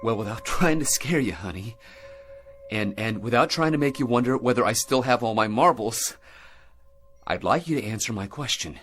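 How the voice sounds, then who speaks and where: A man plays a shaken American astronaut in a radio drama, earnest and halting as he insists on his sanity. His pitch moves widely around a middle register. Light music sits behind him. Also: animated, a bit bright, natural voice. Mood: tense, urgent. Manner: halting, earnest delivery with repeated words. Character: troubled male astronaut, shaken husband insisting he is rational.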